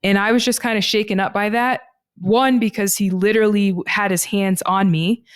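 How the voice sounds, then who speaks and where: A woman guest on a podcast, telling personal travel stories in an earnest, reflective way and slowing down on the heavy points. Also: medium pitch, fairly even intonation, clear, resonant tone, no echo, studio quality. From United States (Texas).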